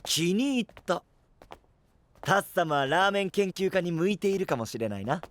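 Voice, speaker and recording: A man's voice: high-pitched, expressive, normal speed, fairly bright tone. A man playing an earnest, inquisitive young guardian in an anime-style voice drama, blunt and slightly stiff. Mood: earnest, curious.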